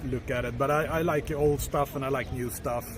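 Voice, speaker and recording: A relaxed man in his thirties answers questions outdoors, his voice sitting at an ordinary middle pitch. He talks in an easygoing, halting way, full of fillers and restarts. Clear traffic noise rolls along behind him on the street. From Sweden. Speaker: tourist interviewee. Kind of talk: casual street interview.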